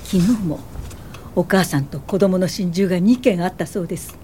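An actress playing an earnest, polite young woman activist in a Japanese radio drama, speaking gently. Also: on the low side, normal speed, a little hiss or hum, lightly acted. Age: young adult.

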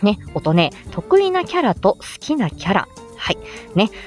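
A woman's voice: medium pitch, very animated, unhurried, faint music behind. A woman giving a casual, polite explanation on a livestream, chatty and encouraging, speaking into a smartphone. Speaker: amateur voice actor explaining how to make voice samples. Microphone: smartphone, close.

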